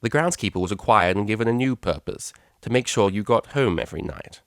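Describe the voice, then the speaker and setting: A man's voice: lowish voice, fairly quick, dry recording, clean studio sound, close to an everyday voice. A man voicing a haughty, commanding pony mother with a clipped, condescending manner, in a story reading. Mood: stern.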